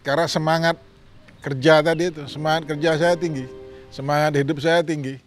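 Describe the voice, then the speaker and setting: A man's voice: a little high, flat delivery, fairly quick, faint music behind. A man in his fifties from Sumatra, giving an earnest, plain-spoken personal testimony. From Sumatra, Indonesia. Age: 50s. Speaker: testimonial speaker.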